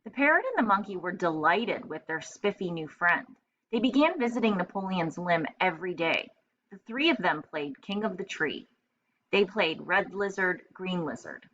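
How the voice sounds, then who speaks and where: A woman with a fairly low voice reads a children's story like a teacher. She thinks aloud in simple, instructive asides. The recording is slightly dull and lo-fi. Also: sing-song, normal speed, fairly bright tone, a little hiss or hum. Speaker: teacher reading aloud to pupils. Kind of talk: storybook narration with explanatory asides.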